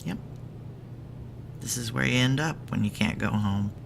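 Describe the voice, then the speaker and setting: A woman playing a gruff, world-weary ex-Ranger security chief in an audio drama, brusque, wry and commanding. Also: extremely low pitch, moderate pace, background noise, exaggerated voice. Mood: wry, probing. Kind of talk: naturalistic audio drama acting with first-person narration.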